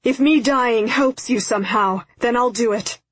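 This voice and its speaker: A woman narrator plays a terrified, tearful father in an audiobook. The voice is raised and husky, pleading breathlessly and repeating itself in anguish. It sounds close and dry. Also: on the high side, steady tone, moderate pace, dry recording. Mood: tearful resignation. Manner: pleading, breathless, repetitive outcries. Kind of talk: audiobook character acting.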